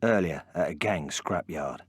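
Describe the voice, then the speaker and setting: A man's voice: low voice, animated, unhurried, breathy edge, heavily acted. A man reading short scripted scene-setting narration for a crime video game. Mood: terse. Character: low-voiced male narrator of crime game.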